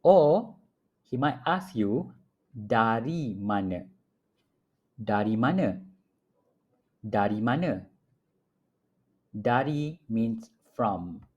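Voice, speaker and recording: Slow, patient male language teacher. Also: medium pitch, lively intonation, a little mellow, dull audio. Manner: repeats each phrase slowly and clearly for learners. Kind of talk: slow, repetitive phrase-by-phrase teaching. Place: home apartment.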